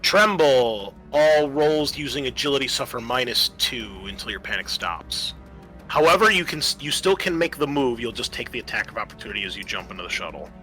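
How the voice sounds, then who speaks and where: A man acts as game master in a tabletop roleplaying session, with a bright, forward voice. He narrates and explains rules conversationally, feeling out rulings as he goes, while music plays faintly behind him. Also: mid-range voice, lively intonation, brisk, rough recording.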